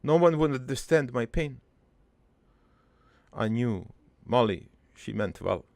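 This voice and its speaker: Man playing a gravelly, rambling old army prankster. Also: medium pitch, animated, no room sound. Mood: somber, weary. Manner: rambling, halting, matter-of-fact storytelling. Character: gruff, crude, regretful army veteran and prankster. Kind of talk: improvised in-character cartoon monologue.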